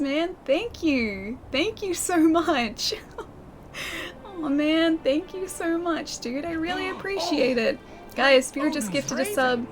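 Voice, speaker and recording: A young woman streaming a game and chatting with her viewers, her voice a little croaky and scratchy from a sore throat, laughing often and calling people dude and man. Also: sits high. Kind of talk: casual chat with live stream viewers.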